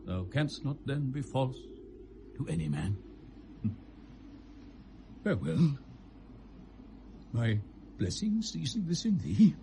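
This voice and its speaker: A man's voice: medium pitch, very animated, takes it slowly, long pauses, warm tone. An older British actor playing an elderly, pompous, fussy courtier and father full of maxims in a classic Shakespearean radio drama. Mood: fussy, fatherly advising. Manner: pompous, sententious. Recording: hissy vintage radio drama recording.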